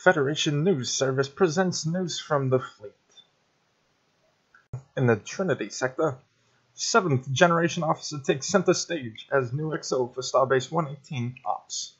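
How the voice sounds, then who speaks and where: A man's voice: a little high, normal speed, breathy edge, dull audio. A man reading scripted news reports as a narrator. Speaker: news reader.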